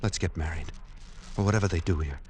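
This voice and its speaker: A man's voice: sits low, quick pace, a little mellow, slight background noise, lightly acted. An actor playing an anxious, lovesick, pleading man in a vintage radio drama. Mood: tender. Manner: earnest, pleading, theatrical. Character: lovesick, anxious man living secretly in a department store. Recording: old radio recording with music behind.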